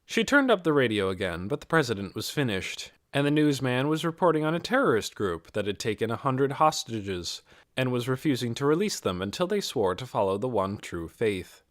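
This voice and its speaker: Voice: mid-range voice, sing-song, brisk. An audiobook narrator reading a novel as scripted literary narration.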